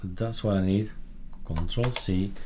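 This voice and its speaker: A man hosting a casual software tutorial, thinking aloud and muttering in short fragments as he works. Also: low-pitched, steady tone, slow pace. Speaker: tutorial host. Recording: background noise with keyboard typing and clicks. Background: keyboard typing, mouse clicks.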